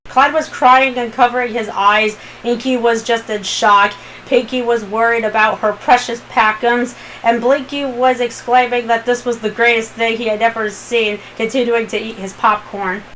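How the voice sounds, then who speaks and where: A woman's voice: on the high side, little pitch movement, normal speed, fairly bright tone, a little hiss or hum, rough recording. A woman reading a story aloud as an amateur narrator, in a dramatic storytelling style. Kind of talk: dramatic fan fiction narration.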